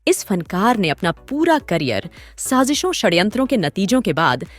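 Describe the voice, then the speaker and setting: A woman's voice: mid-range voice, sing-song, normal speed. A woman hosting and narrating a scripted documentary story in a dramatic, literary storytelling manner. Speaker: host and narrator. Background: background music.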